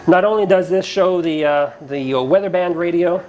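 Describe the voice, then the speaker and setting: A man's voice: high voice, moderate pace, muffled recording, noisy background. A man giving a casual, plainspoken product review to camera, explaining features as he goes, recorded inside a garage. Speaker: product reviewer, channel host. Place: inside a cinder-block garage.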